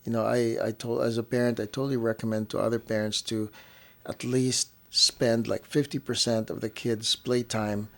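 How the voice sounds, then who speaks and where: Male parent co-host, medium pitch, conversational, repeats himself for emphasis, lots of "you know". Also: flat delivery, moderate pace. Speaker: parent co-hosting a family show. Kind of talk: casual conversational chat with partner.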